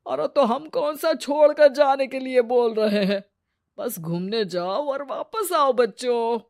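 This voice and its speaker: A woman's voice: sits high, unhurried, darkish tone. A woman playing a bossy, loving old grandmother in a family story, scolding in a playful, folksy way. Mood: nagging affectionately.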